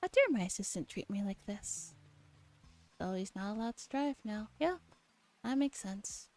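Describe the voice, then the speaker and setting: A woman streamer gently reading a visual novel aloud in a soft ASMR style, relaxed and chatting casually, at times dropping to a whisper. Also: medium pitch, moderate pace. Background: background music.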